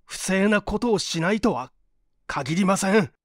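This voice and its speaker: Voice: mid-range voice, expressive, a little slow, no room sound, studio quality, voice pushed up, lightly acted. A performer voicing an earnest, indignant young man in a storybook reading, formal, polite and emphatic. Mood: indignant, heated. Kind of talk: dramatized literary reading.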